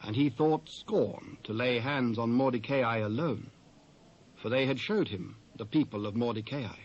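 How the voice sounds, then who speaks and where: A British man narrating scripture in a solemn, measured, dramatised style. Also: medium pitch. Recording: dull old cassette recording.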